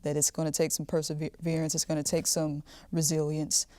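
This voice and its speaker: Low, even-toned woman podcast host. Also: fairly quick, no echo, clean studio sound. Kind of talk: interview questioning.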